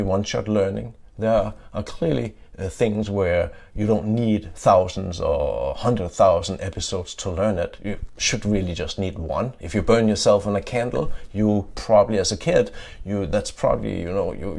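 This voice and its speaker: Middle-aged Danish man, deep gravelly voice, conference speaker with loose filler-heavy delivery. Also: darkish tone. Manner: adds "yeah?" to check in with listeners; frequent "uh" fillers and restarts. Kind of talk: tech conference talk. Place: conference stage.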